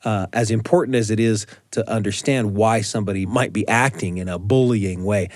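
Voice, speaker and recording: A man's voice: lowish voice, normal speed, a little mellow, dry recording, clean studio sound. A man hosting a family storytelling podcast in a conversational style. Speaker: podcast host.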